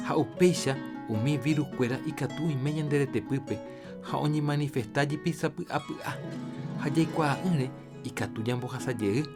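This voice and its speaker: A man with a Paraguayan accent giving scripted health education as a radio presenter, in a didactic, deliberate way. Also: mid-range voice. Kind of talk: scripted educational narration in Jopara. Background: guitar music.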